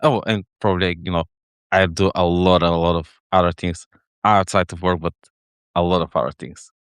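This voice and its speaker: Man, middle-aged, Bulgarian accent, lowish voice, halting conversational explanations, dry close sound. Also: steady tone, clear, resonant tone, no room sound. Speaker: interview guest, head of data engineering. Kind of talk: casual explanatory chat.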